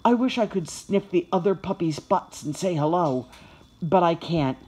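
A woman voicing an excitable, food-crazed young puppy who gushes childlike exclamations as he tells his own storybook tale. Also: fairly low pitch, moderate pace, husky. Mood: happy, earnest. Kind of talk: first-person children's storybook narration.

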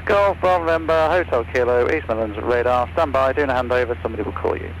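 A man's voice: mid-range voice, fast. A man speaking as an air traffic controller in clipped phraseology over aircraft radio. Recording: aircraft radio with faint noise.

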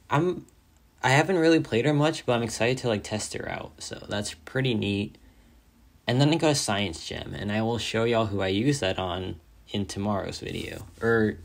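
A young man with a raspy, hoarse voice, tired from a long day of talking, chatting excitedly and rambling over his game rewards. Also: fairly low pitch. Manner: excited, rambling, says "like" often. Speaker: mobile game content creator. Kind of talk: casual gameplay commentary.

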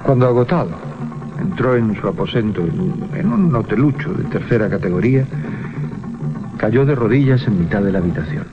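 An ominous storyteller narrates horror with a Spain accent and theatrical pauses. His medium-pitched voice is very lively, on muffled 1980s radio with music behind. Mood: ominous suspense. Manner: suspenseful, theatrical pauses and repetitions. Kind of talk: dramatic scripted radio narration.

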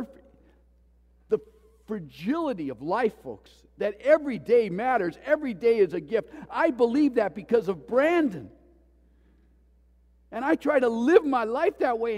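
A man preaching in a church, his voice sitting high for a man. He talks slowly and with feeling, moving from loud pleas to near-whispers. The sound rings with the long reverb of a big hall. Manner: impassioned, swinging from loud pleas to hushed tones. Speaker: pastor. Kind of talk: sermon. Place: church sanctuary.

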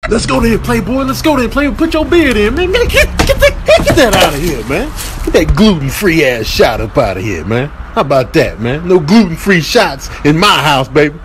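Guy doing funny voiceovers, slangy trash talker, super animated and high pitched. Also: normal speed, noisy background. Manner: slangy, exclamatory trash talk. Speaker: voiceover comedian. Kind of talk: comic animal-video voiceover, improvised commentary.

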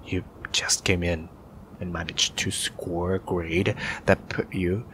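A man's voice: deep voice, takes it slowly, slight background noise, full character voice. A man playing a grumpy, shy young incubus student in an ASMR roleplay, grudging and hesitant, sometimes half-whispering. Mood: defensive, embarrassed. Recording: steady rain ambience behind voice.

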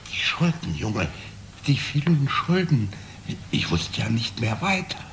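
A desperate, bitter gambler and failing father in a crime radio drama. His gruff, gravelly voice swings widely in pitch and carries a sharp edge. The delivery is agitated and theatrical. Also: big pitch swings, measured pace, full character voice. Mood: broken, despairing. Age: middle-aged. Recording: noticeable background noise, old broadcast audio.

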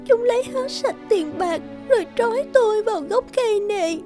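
Casting: young fox-demon maiden, kids' animation, piercingly high pitch, sly, theatrical, light music behind. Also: female voice, expressive, moderate pace, dark tone. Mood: pleading. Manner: coaxing, melodramatic. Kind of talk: children's fairy-tale cartoon dubbing.

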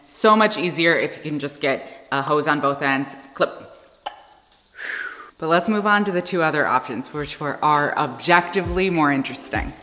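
A woman's voice: low voice, normal speed, fairly bright tone. A woman in her thirties giving a casual, informal explainer to camera as a vlogger. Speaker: vlogger explaining a camper van build. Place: indoor garage workshop beside a van. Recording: echoey, reverberant room with music behind.

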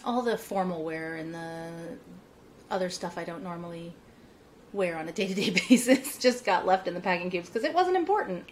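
A woman's voice: lowish voice, lively intonation, normal speed, a little hiss or hum. A woman in her thirties hosting a casual podcast chat from home over a video call, upbeat and chatty, laughing often. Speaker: podcast host. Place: home room with bookshelves, indoors. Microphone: earbuds on a video call.

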